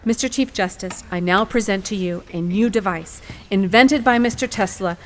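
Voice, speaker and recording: An actress playing a determined, eloquent female lawyer in a courtroom audio drama, with a formal, theatrical and deliberate delivery. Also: mid-range voice, slight background noise, close to an everyday voice. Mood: firm, formal. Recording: music behind the voice.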